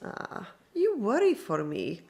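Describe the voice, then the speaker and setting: A confident female circus artiste. Her voice swings animatedly and crackles with creak, flirtatious in radio drama style. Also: mid-range voice, huge pitch swings, takes it slowly, darkish tone, heavily acted. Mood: teasing, defiant.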